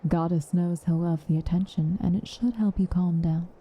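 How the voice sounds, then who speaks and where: A woman performs a stern yet caring witch in an intimate ASMR roleplay. Her voice is lowish, very dark and husky with breath. She gives dry commands slowly and softly, often sinking into a protective whisper. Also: steady tone, muffled recording. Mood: protective, soothing. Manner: measured, soft, often dropping to a whisper, dryly commanding.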